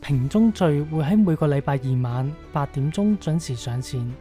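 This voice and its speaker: Low, mellow Cantonese woman announcer. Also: lively intonation, moderate pace, soft background music. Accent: Hong Kong Cantonese. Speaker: announcer reading closing credits. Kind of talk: scripted announcement.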